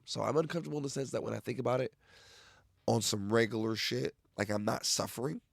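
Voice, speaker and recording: Raspy young man ranting, gravelly. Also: fairly low pitch, fairly bright tone, no echo, clean studio sound. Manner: loose, profane, rambling with restarts. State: raspy voice from the weather. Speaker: vlogger. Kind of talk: casual rant to camera.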